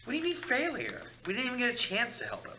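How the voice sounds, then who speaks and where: A man's voice: very high voice, wildly swooping pitch, quick pace, noisy background, heavily acted. A man reading the part of a sarcastic, irritable dead man at a screenplay table read. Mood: exasperated. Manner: snarky, exasperated.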